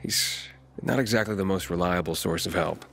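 Deep-voiced, guarded wanderer hero. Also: male voice, normal speed, vocal fry, fairly bright tone. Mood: sceptical. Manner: terse, questioning, serious. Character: earnest, guarded male wanderer, sceptical young loner hero. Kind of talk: video game dialogue acting.

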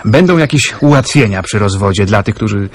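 An actor playing an earnest wartime suitor in a radio drama, hesitant and husky. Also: male voice, fairly low pitch, expressive, brisk, lightly acted. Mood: tender, teasing. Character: earnest, teasing man in love, wartime suitor.

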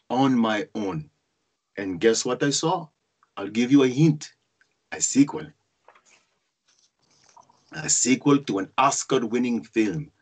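A man's voice: fairly low pitch, husky. A man playing an exasperated adult son who tells of his boyhood love of movies in a theatrical screenplay reading. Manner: expressive, theatrical storytelling. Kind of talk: screenplay table read, dramatic monologue. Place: indoors on a group video call.

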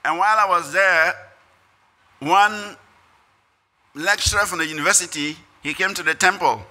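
High, bright-voiced older Ghanaian man. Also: moderate pace. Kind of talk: prepared speech read at a lectern. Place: conference hall stage. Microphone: podium microphones.

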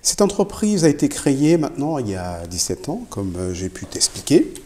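Male CEO guest, relaxed dark tone, very varied intonation, emphatic repetition. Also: medium pitch, normal speed. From Africa. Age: middle-aged. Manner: emphatic, repeats key phrases. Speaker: company CEO, interview guest. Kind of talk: explanatory interview answers. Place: indoor showroom with product shelves.

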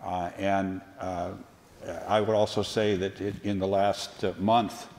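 A man speaking as a panelist, measured and deliberate, with many uhs as he builds his point. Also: low-pitched, little pitch movement, darkish tone. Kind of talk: academic panel discussion. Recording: long hall reverb.

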